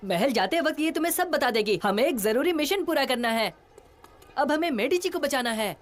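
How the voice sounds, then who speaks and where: A woman's voice: sits high, lively intonation, normal speed. A woman voicing a cheerful, keen young boy sidekick in a dubbed cartoon. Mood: urgent, keen.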